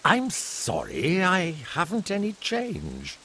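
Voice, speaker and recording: A man voicing an elderly male colonel who speaks in a polite, gentlemanly way, in an audiobook reading. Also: high voice, huge pitch swings, slow pace, slightly rough, pitched above the performer's own voice, full character voice. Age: older.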